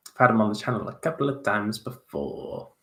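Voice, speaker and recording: A man's voice: on the low side, very animated, brisk, pushed and bright. A young man chatting casually as he answers viewer questions on a livestream, self-deprecating, with many fillers and restarts. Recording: roomy echo.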